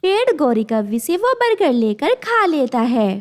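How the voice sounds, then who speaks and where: High-voiced woman narrating a children's story. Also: big pitch swings, a little slow, darkish tone. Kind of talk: scripted children's cartoon narration.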